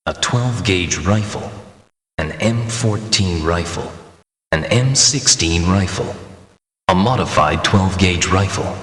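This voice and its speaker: A man reading scripted item names for a video game in a deliberate, matter-of-fact way. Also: deep voice, fairly bright tone, reverberant, big-room sound. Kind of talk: scripted item-list narration.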